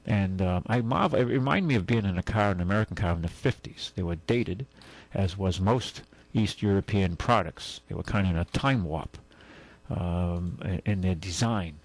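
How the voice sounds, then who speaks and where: A man's voice: low voice, moderate pace. A man hosting a call-in car radio show, talking casually and rambling with many fillers and restarts.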